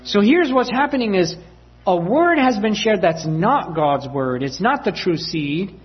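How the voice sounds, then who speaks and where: A man's voice: sits high, sing-song, a little slow, dull audio. A man preaching a sermon as a story, acting out family dialogue with voices and laughter.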